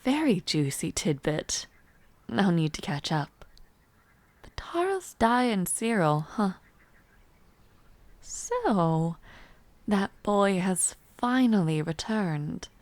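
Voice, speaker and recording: A woman playing a refined, teasing young palace noblewoman in an intimate audio roleplay, speaking in a poised, formal, softly playful way. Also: medium pitch, sing-song, takes it slowly, pauses often, no room sound. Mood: amused, gossipy. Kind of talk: intimate audio roleplay acting, chatting to the listener.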